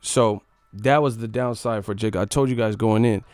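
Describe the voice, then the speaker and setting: A man's voice: lowish voice, normal speed, a little mellow, smooth, dry recording. An American man hosting a live boxing stream, giving casual, opinionated analysis in a blunt, slangy way with some swearing.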